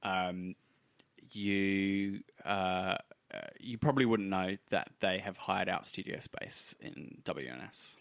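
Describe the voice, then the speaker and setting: A man narrating a tabletop role-playing game as game master in a casual, halting, explanatory way. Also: low voice, fairly bright tone, clean tone, dry recording.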